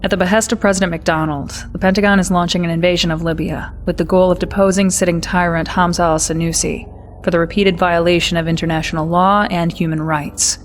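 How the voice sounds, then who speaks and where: A woman playing a brisk, wry military intelligence officer, giving a scripted mission briefing in a crisp, confiding manner. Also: fairly low pitch, steady tone, a little hiss or hum, natural voice.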